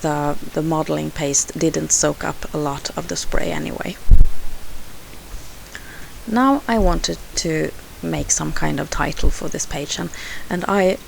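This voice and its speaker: Swedish-accented woman, craft voice-over; low, unhurried, halting delivery. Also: creaky, slight background noise, low-quality recording. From Sweden. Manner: hesitant, unhurried, frequent fillers and restarts. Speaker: scrapbooking crafter.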